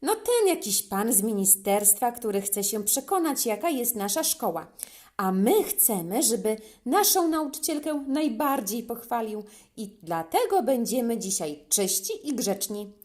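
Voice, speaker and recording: Woman voicing an eager, chatty little schoolboy, lively pitch. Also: a little slow. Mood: eager, earnest. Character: earnest, chatty young schoolboy, a younger brother.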